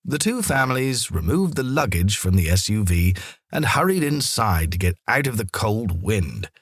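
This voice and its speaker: Low-voiced, husky man reading a story expressively. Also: sing-song, normal speed, dry recording. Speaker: story narrator. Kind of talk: expressive scripted children's storytelling.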